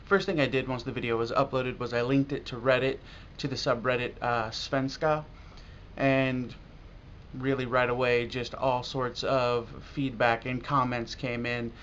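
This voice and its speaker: An American man talking casually and reflectively in a video blog as a language learner, halting now and then with pauses. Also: mid-range voice, flat delivery, a bit bright. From United States. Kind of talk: casual talk to camera about learning. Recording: dull lo-fi audio with clear noise.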